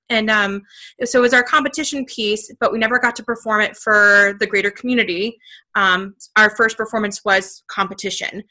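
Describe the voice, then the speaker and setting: A woman's voice: fairly high pitch, bright, forceful tone. A woman drama teacher asking a question conversationally on a video call.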